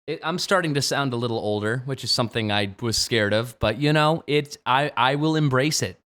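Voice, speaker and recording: A man in his twenties, a game streamer, chatting jokily on a late-night livestream and jumping from low mumbles to sudden shouts. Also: fairly quick. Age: 20s. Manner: playful, jokey, swings from mumbling to sudden shouts.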